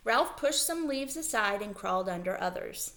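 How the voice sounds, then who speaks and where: A woman reading a children's story aloud as a teacher, in expressive narration. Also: mid-range voice, moderate pace.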